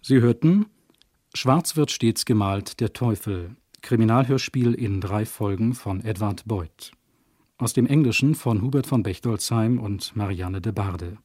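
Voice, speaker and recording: A male radio announcer reads drama credits formally and slowly. His low voice is mellow and slightly husky, in a dry studio sound. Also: dry recording. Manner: measured, formal.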